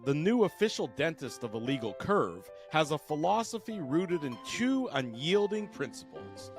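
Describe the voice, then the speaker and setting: High, lively man reading radio ads. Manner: upbeat, punchy pitch. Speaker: commercial announcer. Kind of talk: scripted radio ad read. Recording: ad read over a music bed.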